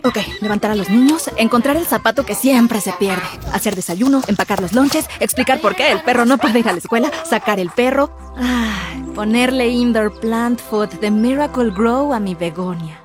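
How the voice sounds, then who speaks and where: A woman with a slightly high voice rattles off chores in a hurried, busy tone for a commercial. Clear music plays behind her. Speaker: actress in a commercial. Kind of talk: acted commercial monologue.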